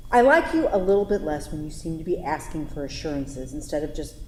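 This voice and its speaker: A woman's voice: sits low, sing-song, brisk, darkish tone. A woman reading the part of a blunt, confident lover in a staged play, frank and sardonic in manner. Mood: frank, gently firm. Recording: echoey theatre hall, faint noise.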